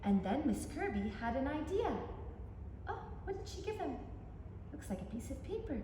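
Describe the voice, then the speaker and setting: A woman storyteller reading a picture book aloud to young children, animated and inviting listeners in with questions, in an echoey room. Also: high voice, moderate pace. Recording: echoey reverberant room, faint background noise.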